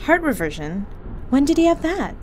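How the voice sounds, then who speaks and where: A woman's voice: high voice, very animated, moderate pace, a little hiss or hum. An actress playing a bossy, confrontational crew woman who speaks sharply and sarcastically. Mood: probing.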